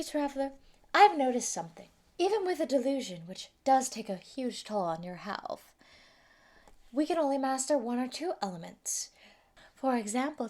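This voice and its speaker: A woman's voice: on the high side, darkish tone. A woman voicing a sadistic, gleeful female mad scientist who taunts and gloats over a captive in a theatrical roleplay monologue. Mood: taunting, gloating. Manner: taunting, gloating, giggly, swinging into whispers. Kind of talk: theatrical villain monologue, fan roleplay audio.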